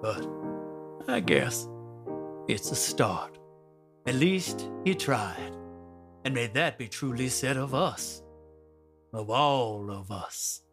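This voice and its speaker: A man's voice: medium pitch, lively intonation, slow, long pauses, a bit bright. A man narrating a radio drama in a theatrical storytelling style. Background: music.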